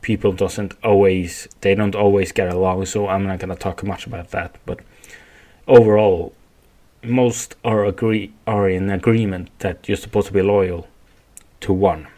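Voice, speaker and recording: A man from Sweden with a Swedish accent, speaking English as a second language in a rambling, halting solo podcast monologue. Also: deep voice, fairly even intonation, dark tone. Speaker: podcast host.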